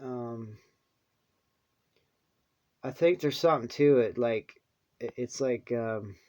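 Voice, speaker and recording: A man vlogging in a rambling casual monologue, halting with many restarts, his voice dropping to mumbles and then perking up again. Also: medium pitch, a little mellow.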